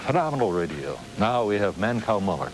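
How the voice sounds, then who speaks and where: A man's voice: on the low side, very animated, fairly quick. An American man, middle-aged or older, chatting casually as the host of a television interview. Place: TV studio interview. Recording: noisy 1990s local TV broadcast.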